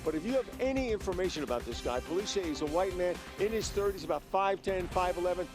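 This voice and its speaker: An American man anchors a television newscast, reading a story in a high-pitched voice. His wording is informal, and music plays clearly underneath. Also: expressive, fast talker. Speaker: news anchor.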